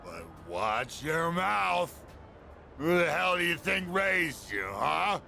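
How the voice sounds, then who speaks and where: An actor playing a gruff, lonely, middle-aged drunken ex-businessman in a video game, with a blustering, slurred drawl. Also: on the high side, sing-song, slow, bright, forceful tone, a little hiss or hum, heavily acted. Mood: irritated, drunken bluster. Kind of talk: English video game voice acting.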